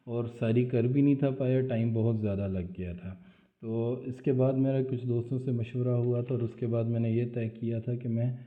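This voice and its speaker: Man calmly talking through a drafting tutorial. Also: mid-range voice. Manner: explanatory, steps through actions aloud. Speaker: CAD drafting instructor. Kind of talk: screen-recorded software tutorial. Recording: tinny phone-like audio.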